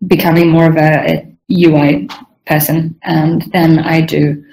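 A woman's voice: sits low, little pitch movement, unhurried, darkish tone, poor audio. A young woman from Sweden and Southern Africa with a slightly strained throat, explaining her work as a game designer in an informal interview. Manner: frequent throat clearing. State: strained voice, needing to clear throat.

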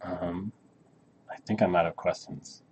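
A man's voice: sits low, fairly even intonation, a little slow, a little mellow, a little hiss or hum. A man interviewing casually, hesitant and rephrasing his questions as he goes.

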